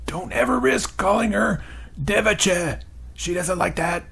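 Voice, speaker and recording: Man putting on a shrill excitable boy guide. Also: creaky, slightly rough, exaggerated voice. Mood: whispering. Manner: brisk, loud, high falsetto. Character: excitable young male camp guide.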